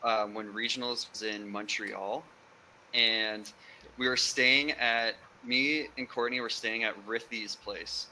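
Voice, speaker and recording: Young man, podcast guest, very bright tone, lively storytelling, faint hiss behind. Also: medium pitch, slow, rough recording. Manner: animated storyteller, acts out quoted people. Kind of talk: casual storytelling.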